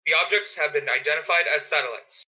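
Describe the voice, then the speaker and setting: A man's voice: fairly high pitch, fast, bright, forceful tone. A man playing an urgent emergency radio announcer, giving official bulletins in a radio play. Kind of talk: repetitive emergency broadcast announcement. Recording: dull lo-fi audio.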